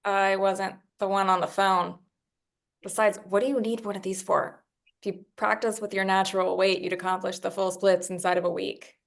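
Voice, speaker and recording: A confident young dancer, read by a woman, with a gravelly fry in a medium-pitched voice. She is sharp-tongued and sardonic, and sounds unbothered as she delivers her lines at a table read. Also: little pitch movement, normal speed, lightly acted. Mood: snide.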